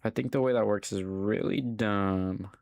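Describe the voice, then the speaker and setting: Gravelly low-voiced young man streaming. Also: measured pace, darkish tone, dry recording. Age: young adult. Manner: chatty, swears casually, talks to chat. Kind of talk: casual live gaming commentary.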